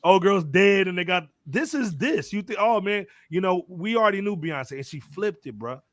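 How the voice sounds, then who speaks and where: Higher-pitched American man, casual podcast host. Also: expressive, moderate pace, no echo, studio quality. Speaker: podcast host moderating a music panel. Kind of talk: casual music talk with slang.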